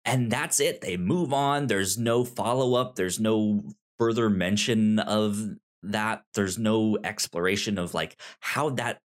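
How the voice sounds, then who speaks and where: Podcast co-host for casual book chat, halting delivery with repeated words and stuttered starts. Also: medium pitch.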